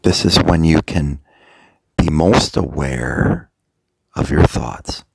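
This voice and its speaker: A man with an extremely low, creaky voice leads a guided meditation as a coach. He speaks slowly and deliberately, leaving long pauses between short phrases. The gravelly, husky sound is captured close and dry. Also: dry recording. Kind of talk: guided meditation, soft motivational talk.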